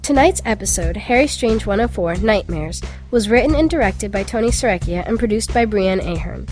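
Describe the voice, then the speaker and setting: A woman's voice: medium pitch, expressive, a little fast, darkish tone, soft background music. A woman reading scripted closing credits as the announcer for an audio drama.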